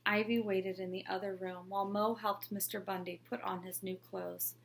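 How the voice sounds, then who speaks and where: A woman, a teacher, narrating a children's picture book aloud in a clear, expressive storytelling manner. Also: medium pitch, normal speed, slight background noise. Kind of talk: children's read-along narration.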